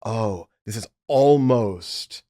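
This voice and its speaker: A man with a fairly low voice talks casually as a producer looking back on his work. He tells it like a story, voicing his own past thoughts as he goes. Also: animated, drawn-out words, mellow. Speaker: audiobook executive producer. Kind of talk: casual behind-the-scenes conversation.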